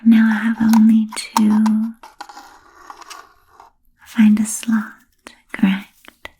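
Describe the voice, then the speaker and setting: A woman voicing a genteel, ancient female vampire in a whispered ASMR roleplay, speaking softly and breathily with halting, formal, fussy phrasing. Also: on the high side, completely flat delivery, a little hiss or hum. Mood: puzzled, tentative. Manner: halting, hesitant, formal old-fashioned phrasing.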